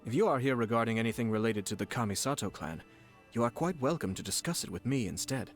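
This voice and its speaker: A man voicing a composed young noble clan head who speaks in a formal, measured, gracious way. Faint music plays beneath. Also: mid-range voice, animated, lightly acted. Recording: game audio with faint music.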